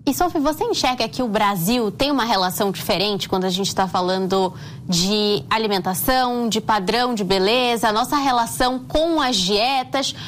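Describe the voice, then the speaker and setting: A woman's voice: fairly high pitch, moderate pace, fairly bright tone, a little hiss or hum. A woman with a Brazilian accent hosting a broadcast interview, putting questions to a guest. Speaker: show host, interviewer.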